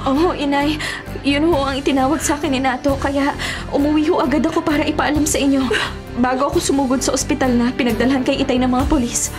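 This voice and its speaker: An actress playing an urgent, respectful grown child in a Filipino radio drama. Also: female voice, high voice, fairly even intonation, fast, over music, natural voice. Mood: urgent, worried.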